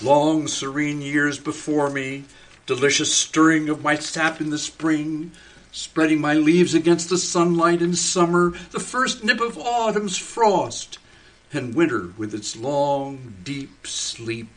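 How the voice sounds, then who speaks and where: A man playing a gentle, dreamy husband who is turning himself into a tree, in a community radio play. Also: fairly high pitch, fairly even intonation, slow pace, rough recording. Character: mild middle-aged husband turning into a tree. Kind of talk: community radio theater acting.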